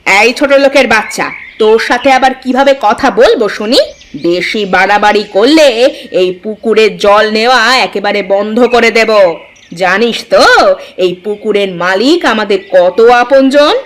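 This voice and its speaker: Haughty, high-voiced rich village wife acted by a woman. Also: moderate pace, close to an everyday voice. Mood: angry, threatening. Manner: scornful, scolding, insulting. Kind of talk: cartoon village drama acting.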